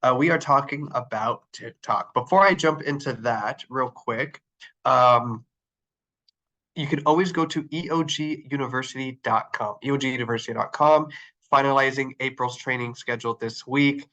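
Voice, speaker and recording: A man's voice: mid-range voice, normal speed, pushed and bright, low-quality recording. A man with a nasal, flu-congested voice leading an online training session, talking with plenty of ums and uhs as he clicks through his screen. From Arizona. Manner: upbeat, filler-heavy, talking while clicking through screens.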